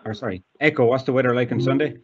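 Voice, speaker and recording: A man's voice: mid-range voice, rapid-fire, dull audio, a little hiss or hum. An Irish man giving a casual, informal demonstration as a guest, with some hesitation. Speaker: guest demonstrating accessible technology.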